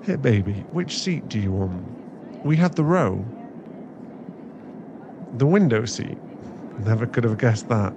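Slow, grumbling nervous guy played by a man. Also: mid-range voice, very animated. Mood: nervous, resigned. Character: nervous, grumbling male friend, resigned to being bossed around. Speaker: voice actor in an audio roleplay. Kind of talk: ASMR boyfriend audio drama. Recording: dull sound, clear cabin noise. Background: vehicle and cabin ambience.

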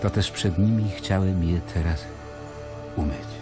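An actor playing a stern, brooding Roman governor in an audio drama, solemn and commanding. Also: male voice, sits low, a little slow, over music.

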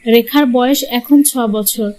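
High-pitched woman narrating a tale. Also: unhurried, darkish tone, a little hiss or hum. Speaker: storyteller. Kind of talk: scripted fairy-tale narration.

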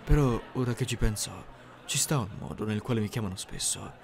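A man playing an earnest, socially awkward high-school boy in an anime-style roleplay, deadpan, literal and hesitant. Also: brisk, creaky, background noise. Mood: pensive, awkward. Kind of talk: scripted anime fan-dub roleplay. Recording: music behind the voice.

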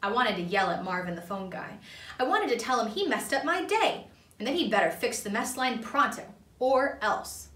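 A woman's voice: on the high side, sing-song, moderate pace, fairly bright tone. A young woman reading a children's storybook aloud expressively, recorded in a small room a little away from the microphone. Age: young adult. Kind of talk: expressive read-aloud narration. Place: small indoor playroom. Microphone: camera a few feet away. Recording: roomy, slightly distant microphone.

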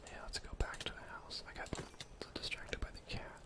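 A young man speaks entirely in a soft, breathy whisper, close to the microphone. He gives casual ASMR gameplay commentary, rambling along and thinking out loud as he plays. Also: normal speed. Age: young adult. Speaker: gaming ASMR streamer.

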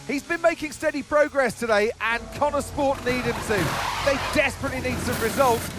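A man playing an urgent, excited motor racing commentator in a video game. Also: very high voice, a little fast, loud music behind the voice. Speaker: race commentator.

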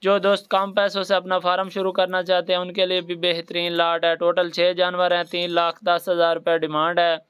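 A man's voice: high-pitched, completely flat delivery, brisk, few pauses, a bit bright, clean tone. A man with a Pakistani accent narrating a promotional livestock sales video in repetitive sales patter.

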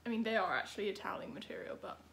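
A woman's voice: mid-range voice, very animated, rapid-fire, creaky, fairly bright tone, a little hiss or hum. A young British woman chatting casually to camera as a vlogger, informal and self-deprecating. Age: young adult. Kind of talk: casual vlog chat to camera.

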